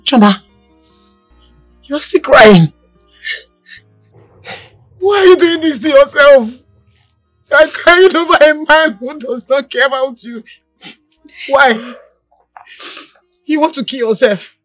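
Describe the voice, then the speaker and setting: A high-voiced, middle-aged Nigerian mother played by a woman who presses her point over and over, scolding and then soothing her grieving daughter in a film drama. Her Nigerian accent colours every line, with faint music underneath. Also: lively intonation, slow, long pauses, no echo. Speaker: mother of the heroine.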